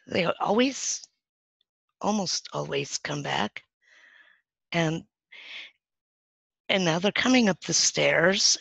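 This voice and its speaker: A woman acting as a frightened young girl in a first-person dramatic monologue, telling it in a halting, hesitant way with many restarts. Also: lowish voice, gravelly, fairly bright tone, no echo, heavily acted. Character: frightened young girl hiding in her bed. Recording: dull, dry lo-fi recording.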